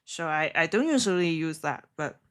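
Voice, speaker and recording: A woman speaking as a live stream trading host, chatting casually in a giggly, hesitant, halting way. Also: low voice, lively intonation. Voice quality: non-native English.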